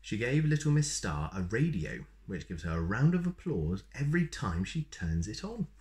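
A man in his thirties reading a children's story aloud in an expressive, playful way. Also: on the low side, moderate pace. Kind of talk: animated read-aloud with asides to young listeners. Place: small plain room indoors.

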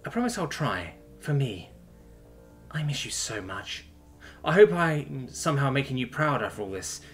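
A tough woman from a sci-fi story, acted out by a man, wry and tender underneath. The voice is pushed high and moves freely in pitch, with a bright edge and music behind it. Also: very animated, a little fast. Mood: grieving, pleading. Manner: blunt, wry, casual swearing. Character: tough, foul-mouthed, battle-worn Terran woman, teasing and tender.

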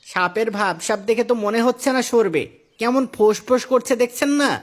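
A man voicing an excitable, anxious village gravedigger in cartoon dialogue, exclaiming urgently. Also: very high voice, fairly quick. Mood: worried.

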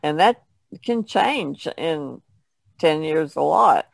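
Woman on a podcast, really gravelly fry, casual chat, dry sound. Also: deep voice, animated, slow pace, no echo. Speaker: artist, podcast guest.

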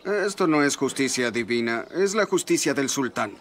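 A man's voice: mid-range voice, animated, normal speed, a bit bright, slight background noise, natural voice. An actor dubbing a chatty male merchant who gossips and boasts. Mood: gossipy. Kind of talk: Spanish dub of a historical series.

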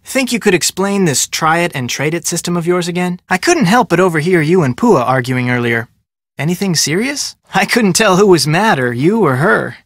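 A man's voice: high-pitched, lively intonation, normal speed, a bit bright, no echo, studio quality, heavily acted. A man playing an inquisitive, level-headed young American amateur detective in a video game, speaking in a casual, probing way. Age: young adult. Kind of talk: scripted game dialogue.

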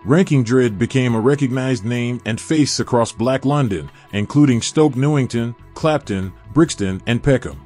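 A man giving a steady, measured scripted documentary narration. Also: medium pitch, faint music behind.

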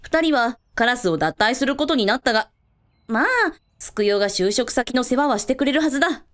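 A woman's voice: fairly high pitch, lively intonation, a little fast, natural voice. A woman playing a confident, caring hotel owner in a radio drama, casually authoritative with everyone. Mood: commanding, caring. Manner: casually authoritative, blunt with staff. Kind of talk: scripted radio drama acting.

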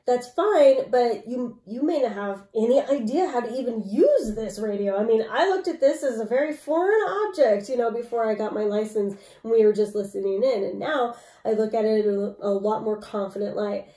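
Mellow woman, distant in an echoey room. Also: on the high side, expressive, moderate pace. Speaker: co-host giving advice. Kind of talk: casual explainer chat. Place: small room at home, indoors.

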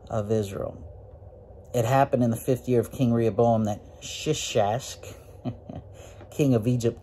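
A forty-year-old preacher talks through scripture in a relaxed, folksy way, filling pauses with "uh" and lifting his pitch on key points. His voice is mellow and warm rather than bright. A faint room ambience sits under the close phone recording. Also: slight background noise. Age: 40s. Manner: folksy, many fillers, rises in pitch on emphasis. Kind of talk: informal Bible lesson to camera. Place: small room with green walls. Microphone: phone or webcam at close range, looking up.

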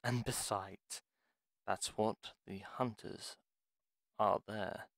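Man acting a stern, low-voiced fantasy healer. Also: fairly even intonation, takes it slowly, creaky, fairly bright tone, no echo, heavily acted. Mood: bitter. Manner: stern, formal, clipped phrases. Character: bitter, curt male pharmacist healer in fantasy cottage. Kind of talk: fantasy audio roleplay acting.

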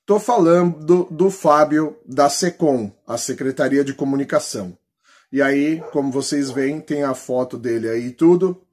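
Husky Brazilian man, fairly high voice. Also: unhurried, a little mellow. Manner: colloquial, opinionated, emphatic. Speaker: political YouTuber. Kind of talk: vlog commentary, reading posts aloud. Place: small plain room indoors.

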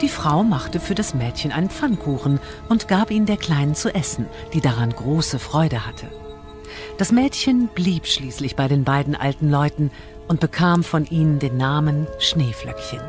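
A woman's voice: low-pitched, lively intonation, normal speed, a little mellow, faint music behind. A woman narrating a fairy tale from a script as a storyteller.

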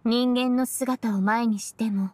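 A woman's voice: on the high side, fairly even intonation, takes it slowly, a little mellow, dry recording. A woman voicing an earnest, polite young woman, a devoted disciple in a Japanese video game, with formal, careful phrasing. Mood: thoughtful.

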